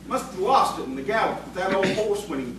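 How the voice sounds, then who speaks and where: A man's voice: high-pitched, animated, a little fast. A man playing a deferential, folksy country man in a radio play, hesitant and plain-spoken. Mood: earnest, puzzled. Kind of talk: live radio-play acting. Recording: echoey, distant, noisy live hall recording.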